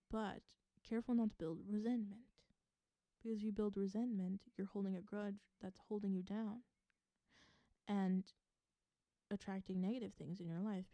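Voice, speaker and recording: A woman from the American South giving an intuitive tarot reading to viewers. She gives earnest advice, repeats phrases for emphasis and laughs softly. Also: mid-range voice, no echo. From Southern United States. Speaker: tarot reader.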